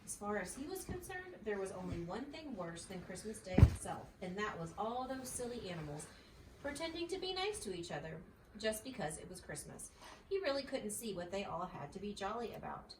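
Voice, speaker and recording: A woman reads a children's story aloud in an expressive, lively storytelling style. Her pitch moves around freely. The recording is roomy and a bit distant, with faint noise. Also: a little fast. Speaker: mother reading a storybook aloud.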